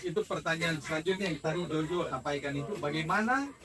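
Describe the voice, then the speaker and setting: A man's voice: a little high, quick pace, recorded from a distance, a crowd in the background. A man interviewing casually, affirming answers in an encouraging way.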